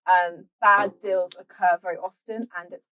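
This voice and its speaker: A woman with a mid-range voice chats as a podcast co-host, recorded dry with no room sound. She feels her way through her points in a halting, tentative manner, thinking out loud. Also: medium pitch, fairly even intonation, no room sound, low-quality recording. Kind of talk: casual panel discussion.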